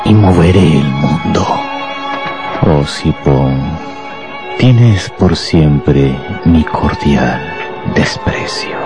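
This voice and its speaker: An actor playing a contemptuous, power-hungry anarchist professor in a radio drama, with a grandiose, deliberate delivery. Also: male voice, a bass voice, animated, full character voice. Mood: grandiose contempt. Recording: music behind the voice.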